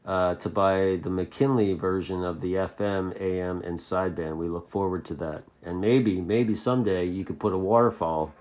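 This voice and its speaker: A man's voice: low-pitched, steady tone, moderate pace, hardly any pauses, darkish tone. An American man chatting casually and unscripted as a hobbyist video host, rambling along and repeating phrases for emphasis.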